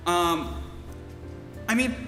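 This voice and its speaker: Man playing an excitable, flustered know-it-all, swooping pitch. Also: exaggerated voice. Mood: eager, muddled. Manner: halting, insistent, repeats himself. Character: eccentric, flustered male know-it-all explaining things badly. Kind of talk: comic stage radio-play dialogue. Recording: echoey hall with music behind.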